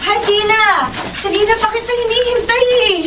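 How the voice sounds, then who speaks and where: A woman's voice: very high-pitched, moderate pace, background noise. A woman playing a giggly, flirtatious, falsely friendly friend in a Tagalog radio drama. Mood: breezy.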